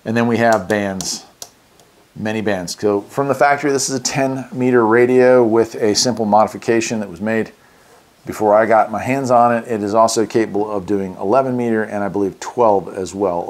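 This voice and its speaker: American guy doing a casual gear review, kinda low voice, folksy and into it. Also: fairly even intonation, fairly quick. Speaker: radio review host. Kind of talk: casual product unboxing and review.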